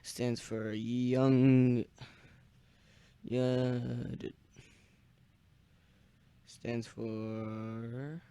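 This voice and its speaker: A young man hosting an amateur radio net in casual, halting chat, searching for words as he goes. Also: mid-range voice, level tone, dark tone, clear, resonant tone. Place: small indoor radio room.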